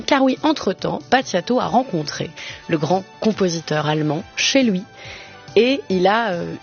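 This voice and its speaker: A radio host presenting a music program in a lively, explanatory way, speaking directly to listeners. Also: medium pitch, creaky, dull audio.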